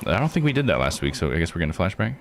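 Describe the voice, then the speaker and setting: A man's voice: deep voice, sing-song, very fast, noisy background. A man hosting a live game playthrough, chatting informally and rambling through his commentary. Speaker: live streamer and host.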